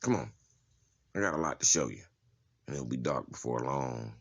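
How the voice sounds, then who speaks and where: Man playing a very deep-voiced, streetwise prison inmate. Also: expressive, normal speed, slightly rough, full character voice. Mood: blunt, crude. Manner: casual, slangy, profane. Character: tough, streetwise adult male prison inmate giving a tour. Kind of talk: comic-book dramatization, character acting.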